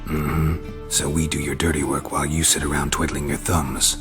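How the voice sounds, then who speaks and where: Very deep, gruff monster hunter. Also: male voice, normal speed, in a large hall, music in the background. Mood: dry. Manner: terse, clipped, deadpan. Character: gruff, stoic, blunt male monster hunter. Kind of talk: video game voice acting.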